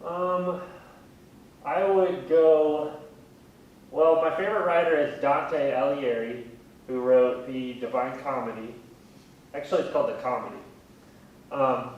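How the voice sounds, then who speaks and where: A man in his thirties chatting casually in an interview, with a wry, gently self-deprecating way of talking. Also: unhurried, long pauses, lo-fi sound. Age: 30s. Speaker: museum communications specialist, interviewee. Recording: very echoey room, distant mic, faint rumbling noise.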